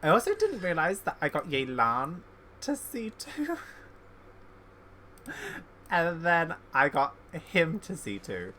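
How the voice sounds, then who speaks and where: Woman, low bright voice, casual gameplay streamer, chatty and slangy, faint noise behind. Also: wildly swooping pitch, slow pace, pauses often. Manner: chatty, slangy, reacting aloud. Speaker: video game streamer.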